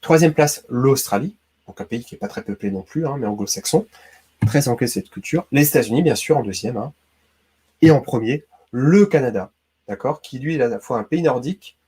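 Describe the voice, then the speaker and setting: A man's voice: medium pitch, lively intonation, moderate pace, a little mellow, slightly rough. A man from Brittany hosting a casual quiz video, chatty and talking straight to the viewer with lots of "hein" and "d'accord". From Brittany, France. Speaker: YouTube host.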